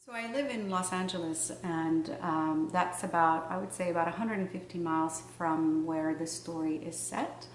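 A middle-aged woman with a fairly low voice speaks in a measured, reflective way, pausing to find her words. She sounds a little distant from the mic, and the room adds a noticeable echo with a faint hiss underneath. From Morocco. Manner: thoughtful, measured, with pauses and fillers. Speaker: novelist. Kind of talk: interview answers. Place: room in front of bookshelves.

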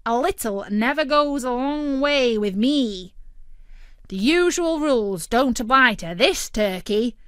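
Storybook role: a woman doing a brash, greedy male turkey, loud and pushy, higher than her own voice. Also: expressive, slow pace, clean tone, no room sound, clean studio sound. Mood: greedy boasting. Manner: loud, eager, bossy.

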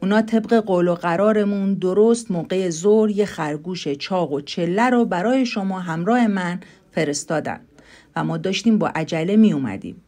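A clever little rabbit from a storybook, played by a woman in a lowish female voice. He speaks in an earnest, deliberate way, always trying to persuade. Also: dark tone, lightly acted. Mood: pleading, meek. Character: clever, persuasive male rabbit of a fairy tale.

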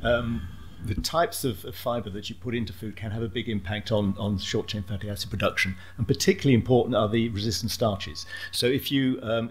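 A man on a conference panel giving an explanation into a table microphone. His voice sits at an ordinary middle pitch, with a faint hiss behind it. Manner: thoughtful, fillers like um. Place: large conference hall, seated at panel table.

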